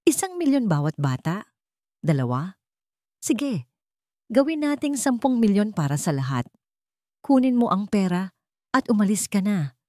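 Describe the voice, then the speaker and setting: A woman's voice: medium pitch, big pitch swings, a little slow, pauses often, a little mellow, no room sound, pitched above the performer's own voice. A woman voicing a cold, contemptuous rich old man in a radio drama, with a dry, commanding, sarcastic delivery. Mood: cold contempt. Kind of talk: Tagalog radio drama storytelling.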